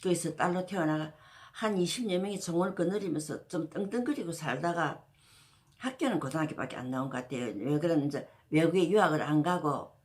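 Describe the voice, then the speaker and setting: A woman's voice: sits low, darkish tone. Rambling woman. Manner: rambling, many fillers and restarts. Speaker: history storyteller host. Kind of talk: casual spoken history talk.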